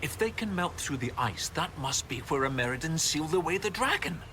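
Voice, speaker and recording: An actor playing an excitable, erudite professor of history in a video game, thinking aloud and questioning himself. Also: male voice, fairly high pitch, a little fast, noisy background, close to an everyday voice. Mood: excited discovery. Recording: long hall reverb, game music behind.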